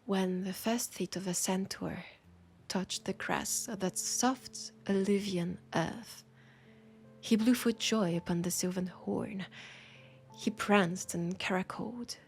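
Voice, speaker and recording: Romantic bookseller for an intimate audio roleplay, played by a woman: French accent, unhurried breathy delivery, tender and teasing, music underneath. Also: mid-range voice. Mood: poetic, rapt. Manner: slow, breathy, whispery, tender and playful. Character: romantic, teasing female bookseller fond of a vampire customer. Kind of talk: soft intimate roleplay audio with poetic reading. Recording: music behind the voice.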